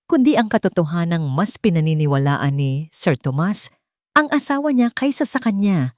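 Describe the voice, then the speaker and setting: A woman's voice: lowish voice, dark tone, no room sound, clean studio sound. A woman storyteller reading a scripted dramatic narration.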